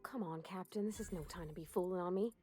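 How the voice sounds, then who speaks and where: A woman's voice: on the low side, fast, darkish tone, natural voice. A woman playing an earnest, shy young ship's engineer in a video game, with folksy, hesitant phrasing. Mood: earnest, nervous. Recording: game audio under music.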